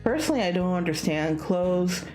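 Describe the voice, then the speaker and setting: A woman's voice: on the low side, moderate pace. A woman streaming a visual novel, reading the narration expressively and slipping into casual asides. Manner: animated, acts out dialogue. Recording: music behind the voice.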